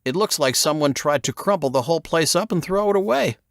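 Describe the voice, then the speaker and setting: Male reader as rookie agent in an audiobook: raised pitch, earnest, nervous, tentative. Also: animated, fairly quick, gravelly, dry recording, clean studio sound. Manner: hesitant, tentative. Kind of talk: audiobook character dialogue.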